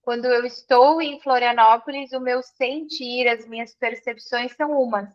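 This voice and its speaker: A woman's voice: fairly high pitch, steady tone, moderate pace, dull audio. A woman from southern Brazil with a gaúcho accent, talking reflectively as an interview guest and repeating phrases for emphasis. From Serra Gaúcha, Rio Grande do Sul, Brazil. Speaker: interview guest, business mentee. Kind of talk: reflective conversation.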